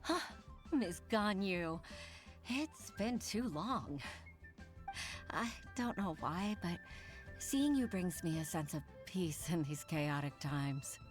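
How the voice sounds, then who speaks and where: An actress playing a courteous, troubled young office junior in a video game, speaking respectfully and with hesitation. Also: female voice, fairly low pitch, expressive, slow pace, faint music behind. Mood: relieved, weary.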